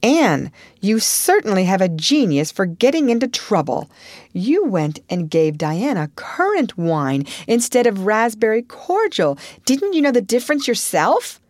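Give me a stern, exasperated older woman, as a woman reading aloud puts her on, brisk and scolding. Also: medium pitch, very animated, a little mellow, no room sound, clean studio sound, pitched above the performer's own voice.

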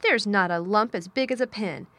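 A woman voicing a hot-tempered, bossy little girl in a storybook, with a sharp, scolding manner. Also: medium pitch, normal speed, no echo, lightly acted. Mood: cross, insistent.

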